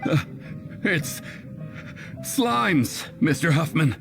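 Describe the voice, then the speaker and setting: A panicky male guard in a game, high for a man and deferential. He speaks urgently but haltingly, with drawn-out pacing and music clearly underneath. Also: sing-song, full character voice. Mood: nervous. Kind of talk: animated video game voice acting. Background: background music.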